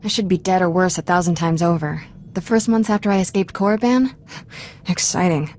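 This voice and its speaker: A woman's voice: lowish voice, fairly even intonation, moderate pace, darkish tone, slight background noise, natural voice. A woman acting a sardonic young Jedi apprentice in a video game, with a casual, flippant way of talking. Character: sardonic young female Jedi apprentice, former street urchin.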